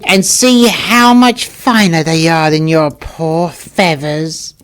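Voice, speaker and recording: Man putting on a vain, high-pitched female peacock. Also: steady tone, slow pace, fairly bright tone, smooth. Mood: boastful. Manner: boastful, taunting.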